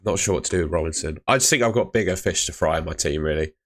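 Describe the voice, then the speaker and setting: A young man chatting live with viewers on a stream, laid-back and chatty, his voice fading and hoarse. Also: low voice, racing, a bit bright. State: losing his voice.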